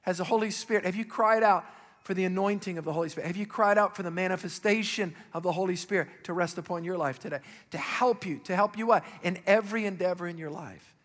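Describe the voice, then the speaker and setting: A male pastor with a raspy voice preaching a sermon, calling out questions to the congregation with rising emphasis. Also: high voice, fast talker, a bit bright, in a large hall.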